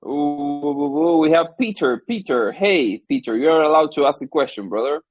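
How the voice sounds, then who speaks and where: A man hosts a live audio Q&A in an upbeat, friendly way. His voice is breathy and husky, and the recording is dull and lo-fi. The sound is dry with no room echo. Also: on the high side, big pitch swings, moderate pace. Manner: upbeat, friendly, calls people brother. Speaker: host of the Q&A.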